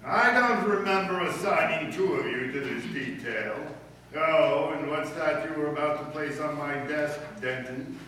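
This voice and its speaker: A man's voice: fairly high pitch, unhurried, bright, forceful tone. A man playing a pompous, blustering middle-aged school principal in an old-time radio comedy, speaking formally with hems and haws. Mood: stern. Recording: very echoey, distant mic, faint noise.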